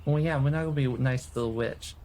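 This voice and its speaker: A man's voice: medium pitch, quick pace, background noise. A man with a British accent chatting casually as a player in an improvised tabletop role-playing game.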